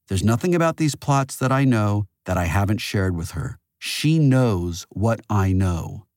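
A guarded, thousand-year-old artificial empress as a male narrator plays her in an audiobook. She answers curtly with sour sarcasm and expressive pitch movement, recorded close and dry. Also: unhurried, no echo. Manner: sarcastic, curt.

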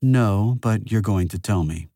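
A man's voice: on the low side, unhurried, dark tone, no room sound, studio quality. A man voicing a thousand-year-old female artificial empress in an audiobook, guarded and sour, with a curt, sarcastic manner.

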